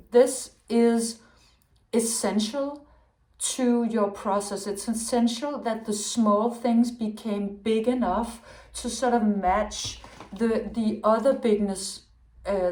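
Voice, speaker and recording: A woman's voice: fairly high pitch, a little mellow. Woman, tarot reader, wandering and self-correcting, echoey room. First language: Danish. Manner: rambling, searching for words with many restarts. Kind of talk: intuitive tarot reading monologue.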